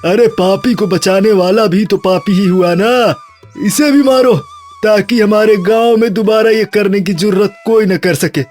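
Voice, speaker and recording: A man's voice: sits high, measured pace, a little mellow, faint music behind. A man voicing a judgmental, gossiping villager, scornful and exclamatory, in a cartoon moral story. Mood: angry.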